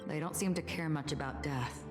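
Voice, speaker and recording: An actress playing a cool, watchful companion in a video game, speaking in a serious, understated way. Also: female voice, sits low, brisk, over loud music, lightly acted. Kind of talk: scripted video game acting.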